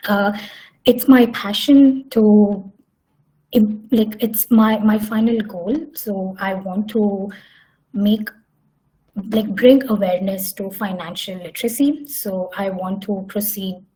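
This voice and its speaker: Young woman presenter, mellow tone, halting delivery with restarts. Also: medium pitch, steady tone, low-quality recording. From Hyderabad, India. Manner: hesitant, many fillers and restarts. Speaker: summit speaker. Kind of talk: online conference presentation.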